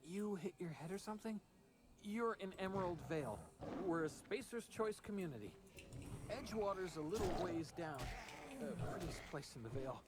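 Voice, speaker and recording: An actor playing a wounded, officious frontier guard in a video game, folksy and earnest, reciting company patter in a drawl. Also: male voice, sits high, animated, moderate pace. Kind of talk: video game character acting.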